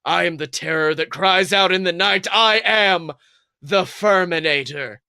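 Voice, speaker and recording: A man's voice: high voice, measured pace, vocal fry, bright, forceful tone, smooth, no echo, studio quality, exaggerated voice. A man voicing a cocky young male fox who plays at being a masked superhero, melodramatic and bluffing, in a comic story reading. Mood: flustered bluster.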